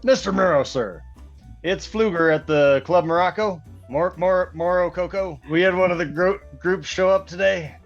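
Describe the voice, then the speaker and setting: Man playing a very high-pitched, jittery nightclub manager. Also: moderate pace, highs cut off, soft background music. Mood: nervous. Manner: jittery, stammering. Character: nervous, deferential nightclub manager. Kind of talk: old-time radio comedy acting.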